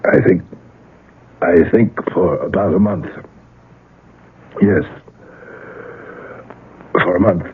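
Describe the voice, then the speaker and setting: Brooding worn-out painter, very low voice, halting phrases, 1970s radio drama, muffled lo-fi broadcast sound. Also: male voice. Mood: weak, reflective. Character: weary, desperate male painter, middle-aged or older, brooding.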